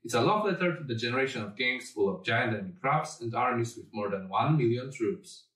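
A man's voice: mid-range voice, lively intonation, fairly quick, fairly bright tone. A man in his thirties, a game creative director, giving a scripted presentation to camera. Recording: roomy, distant from mic.

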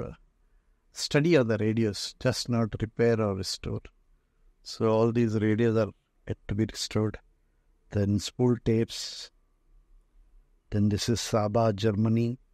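Indian male tour guide, mellow and unhurried, halting phrasing, informal walk-through narration. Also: on the low side, no echo, studio quality. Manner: halting, pausing often mid-phrase. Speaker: museum owner and radio restorer giving a tour. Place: indoor museum and workshop room full of shelves.

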